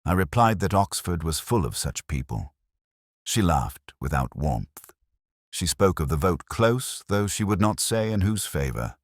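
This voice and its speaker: A man narrating a scripted first-person detective story in a measured, formal, literary manner. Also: low voice, big pitch swings, no room sound, studio quality.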